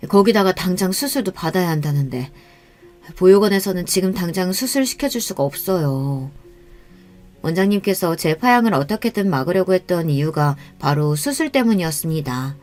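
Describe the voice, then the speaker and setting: A woman calmly narrating a first-person life story in an even, scripted manner. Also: lowish voice, normal speed, soft background music.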